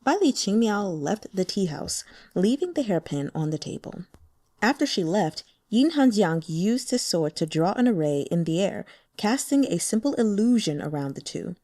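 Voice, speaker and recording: A woman narrates a translated fantasy novel with lively, varied intonation and gives the characters their own voices. Her voice is mellow and warm, recorded close on a dry mic. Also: mid-range voice, moderate pace, no echo. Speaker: audiobook narrator.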